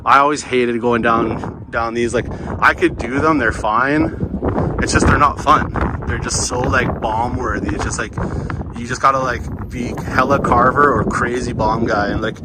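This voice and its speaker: A man's voice: mid-range voice, steady tone, normal speed. A young man chatting casually and slangily outdoors, rambling and musing in a laid-back way. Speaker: vlogger snowboarding at a ski hill. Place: outdoors on a ski slope and chairlift. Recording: clear outdoor ambient noise on ski hill.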